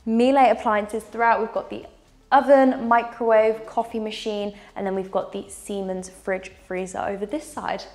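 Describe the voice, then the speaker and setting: A woman's voice: mid-range voice, steady tone, normal speed, darkish tone. Upbeat woman touring a house. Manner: enthusiastic, walking and gesturing while talking. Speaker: property tour presenter. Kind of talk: upbeat house tour narration. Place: large furnished house indoors.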